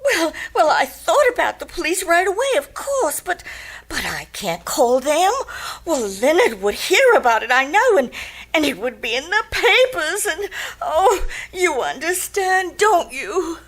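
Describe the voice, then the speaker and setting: A woman's voice: very high-pitched, very animated, measured pace, fairly bright tone, full character voice. An actress playing an anxious, flustered wife in a radio detective drama, hesitant and stammering as she pleads for help. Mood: desperate, pleading. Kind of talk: old-time radio detective drama acting.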